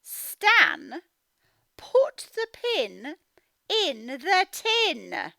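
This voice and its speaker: A woman voicing an exasperated cartoon mum who scolds her young son in an emphatic, drawn-out way. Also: sits high, huge pitch swings, gravelly, pushed and bright, dry recording, clean studio sound, full character voice. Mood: stern warning.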